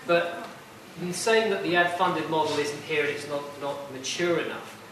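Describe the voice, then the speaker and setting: A man speaking up from the audience without a microphone, loud and assertive, rambling with fillers. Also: on the high side, moderate pace. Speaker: audience questioner. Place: conference hall audience. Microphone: no microphone, far from mic. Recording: far-off, echoey with noise.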